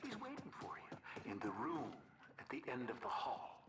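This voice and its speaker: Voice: medium pitch, huge pitch swings, moderate pace, very noisy. An actor voicing a gloating Nazi commandant villain in a video game, taunting theatrically between whispers and outbursts. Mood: gloating menace.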